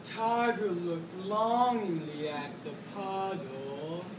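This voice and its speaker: A woman's voice: lowish voice, slow pace. A woman telling a scripted story in readers theatre, projecting with emphatic stage delivery. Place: classroom, indoors. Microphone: distant camera. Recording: echoey classroom from far, faint noise.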